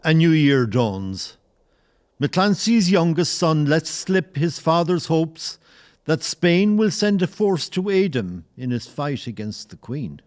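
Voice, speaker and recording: A man playing the solemn narrator of a historical audio play, with measured, literary, grave delivery. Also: fairly high pitch, very animated, darkish tone. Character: solemn storyteller narrator of old sea tale. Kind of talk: scripted dramatic narration.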